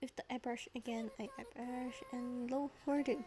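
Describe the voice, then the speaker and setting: A woman's voice: on the high side, fairly even intonation, moderate pace, warm tone. A teenage girl narrating a drawing tutorial in a casual, rambling way, with hesitant restarts and chatty side stories. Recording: voice-over with clear background music. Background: background music.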